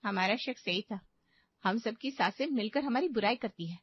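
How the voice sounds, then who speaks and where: A woman's voice: fairly high pitch, expressive, normal speed, breathy edge, highs cut off. A woman voicing an anxious but game young daughter-in-law in a cartoon story, earnest and conspiratorial. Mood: resolute. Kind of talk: cartoon moral story acting.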